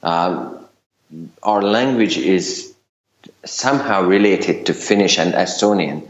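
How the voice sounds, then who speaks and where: Middle-aged Hungarian-accented man, very low voice, unhurried and halting, interview guest. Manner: hesitant, many fillers and restarts. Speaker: guest, LinkedIn consultant. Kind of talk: casual interview talk. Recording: roomy, dull lo-fi recording.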